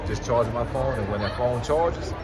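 A man's voice: medium pitch, moderate pace, very noisy. A young man recapping his day in a casual, rambling way, repeating himself, recorded on a phone held at arm's length. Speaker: vlogger. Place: convention venue, late at night.

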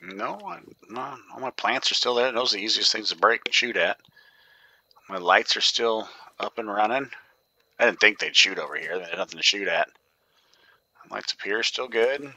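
Bright-voiced man muttering over gameplay. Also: medium pitch, gravelly. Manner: thinking aloud, often dropping to a whisper. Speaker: gaming YouTuber. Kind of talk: casual gameplay commentary.

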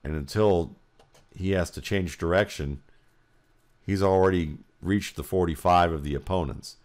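Deep-voiced American man analysing film. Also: measured pace, darkish tone. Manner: explanatory, thinking aloud. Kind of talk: play-by-play film breakdown commentary.

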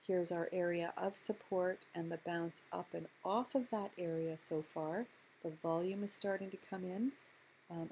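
A woman hosting a screen-recorded stock chart walkthrough, explaining in a measured way with frequent ums and uhs. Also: lowish voice, darkish tone, a little hiss or hum, rough recording. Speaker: stock chart analyst host. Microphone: voice-over on screen recording.

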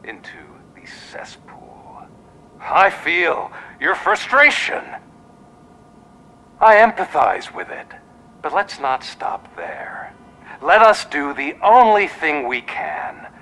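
A messianic video game gang boss. His fairly high, tense voice takes its time, menacing and oratorical, sometimes sinking into a whisper. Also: huge pitch swings, pushed and bright, exaggerated voice. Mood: rousing menace. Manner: measured oratory swinging into hissed whispers. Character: menacing, messianic male gang leader rallying followers. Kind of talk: video game villain's broadcast speech.

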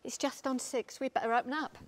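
An actress reading the part of a weary, loyal pub landlord's wife, anxious and pleading, in a stage drama. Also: female voice, high voice, fairly even intonation, brisk, natural voice. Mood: resigned. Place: theatre stage, seated reading.

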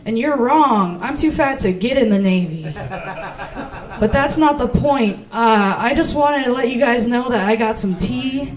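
A woman's voice: a little high, animated, a little slow. A woman in her thirties doing stand-up as host in a small comedy club, playful and self-mocking on a handheld mic.

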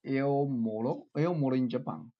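A man in his twenties from China with a Chinese accent, chatting on a video call as a language learner, halting and repeating words as he searches for them. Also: mid-range voice. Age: 20s. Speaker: language learner and channel host. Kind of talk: casual video chat. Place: small room indoors. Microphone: webcam on a video call. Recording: dull lo-fi video call audio.

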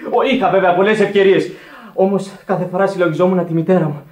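Radio play casting: male actor as earnest lovestruck young seaman, high voice, nervous and deferential, echoey old recording. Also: steady tone, a little mellow, close to an everyday voice. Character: shy, polite, earnest young sailor in love. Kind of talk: theatrical radio play acting.

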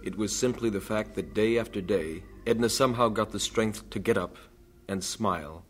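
A man narrating a radio drama in a measured, steady way. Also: lowish voice, a little hiss or hum. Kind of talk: scripted narration. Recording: faint music underneath.

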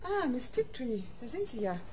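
A woman's voice: sits high, big pitch swings, normal speed, mellow. An actress playing an older female doctor who speaks bluntly and dryly. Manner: blunt, dry.

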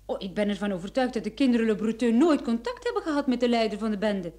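An actress playing a sharp, confident female private detective in a radio play, explaining things in an assured, theatrical way. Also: on the high side, expressive, quick pace, lightly acted. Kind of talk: Dutch-language radio drama acting.

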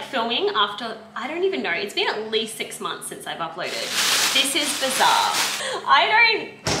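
A young Australian woman chatting casually and rambling a little, filmed in her home kitchen by a camera on the counter. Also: on the high side. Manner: chatty, rambling with self-corrections. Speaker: vlogger. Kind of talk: casual grocery haul chat. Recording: echoey kitchen with background noise.

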